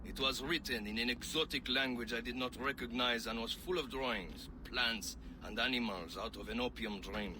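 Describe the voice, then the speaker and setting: A man's voice: mid-range voice, normal speed, hardly any pauses, background noise, close to an everyday voice. An actor playing a rugged male informant in a video game cutscene, reporting news in an earnest way. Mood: steady report.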